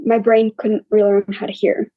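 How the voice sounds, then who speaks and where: A young woman with a scratchy, quiet voice, openly telling her story as an interview guest in a plain, matter-of-fact way. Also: medium pitch, flat delivery, normal speed, no room sound, narrow, tinny audio. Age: young adult. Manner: open and matter-of-fact, listing hardships plainly. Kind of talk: candid personal storytelling.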